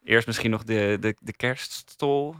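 A man's voice: fairly low pitch, unhurried, a bit bright, clean tone, dry recording. A man co-hosting a podcast in casual conversation, with a dry, playfully contrary way of talking. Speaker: podcast review co-host. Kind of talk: casual studio chat.

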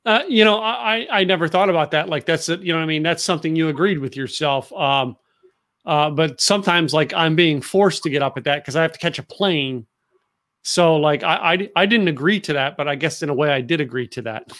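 Male host, higher voice, chatty and rambling, lots of fillers. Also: a little fast, a bit bright. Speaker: podcast host. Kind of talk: casual interview chat.

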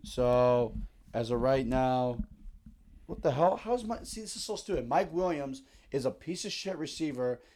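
A man's voice: mid-range voice, lively intonation, a little slow, warm tone, a little hiss or hum. A man co-hosting a sports podcast in casual chat, rambling and joking with frequent restarts. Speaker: podcast co-host.

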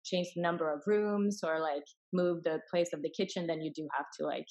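Woman from sweden, english not her first language, bit low voice, explaining stuff casually in an interview. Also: fairly even intonation, fairly quick, darkish tone. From Finland-born, raised in Sweden. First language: not English, which is a third language. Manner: informal, acts out quoted dialogue. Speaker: interview guest, property investor.

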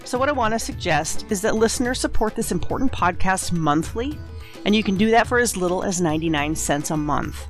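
A woman's voice: mid-range voice, lively intonation, brisk, soft background music. A woman, the show's editor and producer, earnestly reading a prepared appeal asking listeners for support.

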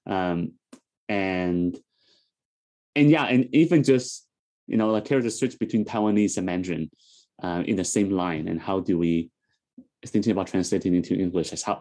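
A man on a theater panel speaking hesitantly, pausing often as he searches for his words. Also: low voice, darkish tone. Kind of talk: conversational panel discussion.

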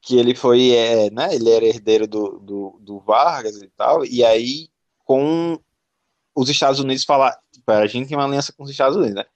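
A Brazilian man talks through his ideas in loose, rambling chat. He often restarts sentences and leans on filler words. His voice sits at a middle pitch, and the recording is dry and close. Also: normal speed, no room sound, lo-fi sound. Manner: rambling, thinks aloud with restarts and frequent "né". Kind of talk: casual chat.

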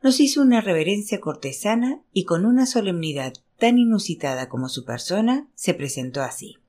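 A woman reading literary fiction aloud as scripted narration, in an expressive storytelling manner. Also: mid-range voice, normal speed. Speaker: audiobook reader.

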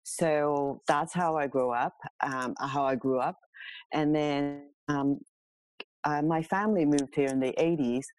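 A woman's voice: low voice, measured pace, a little mellow, no echo, rough recording. Middle aged lady from hong kong chatting about herself on a podcast, kinda self deprecating, dry recording. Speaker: podcast guest, milliner. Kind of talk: casual autobiographical interview talk.

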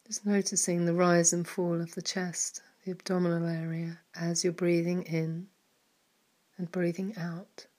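A woman guides a mindfulness meditation in an unhurried, gentle way. Her voice sits a little low, and she leaves long, calm pauses between phrases. Now and then a word drops away into a breathy whisper. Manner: soft and calm, some words trailing into a whisper.

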